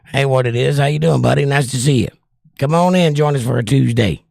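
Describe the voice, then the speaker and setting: A man's voice: mid-range voice, brisk, gravelly, a little mellow, dry recording, clean studio sound. An older man, casually reading viewer comments aloud on his livestream and answering them. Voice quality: voice worn from years of trouble. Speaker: livestream host reading chat.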